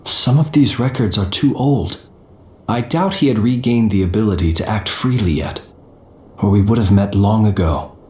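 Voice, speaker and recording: An actor playing a composed, analytical gentleman soldier in a video game, speaking in a measured, formal, deliberate way. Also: on the low side, echoey room, lightly acted. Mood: pondering. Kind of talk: video game character dialogue.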